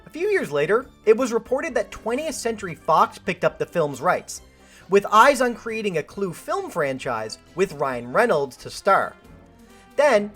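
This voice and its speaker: A woman with a Canadian accent hosting a scripted film video essay, talking playfully and directly to the viewer. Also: mid-range voice. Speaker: video host and film commentator. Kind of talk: scripted video essay narration with chatty asides.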